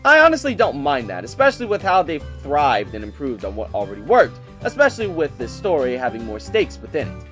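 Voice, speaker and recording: A man giving a casual, scripted game review with an enthusiastic, playful and expressive delivery. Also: medium pitch, a little fast, few pauses, faint music behind.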